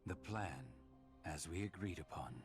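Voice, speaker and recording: An actor playing a grave, dutiful villain strategist in a video game, speaking in a formal, stoic, measured manner. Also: male voice, sits low, lively intonation, loud music behind the voice. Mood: resolute. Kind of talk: dramatic video game cutscene acting.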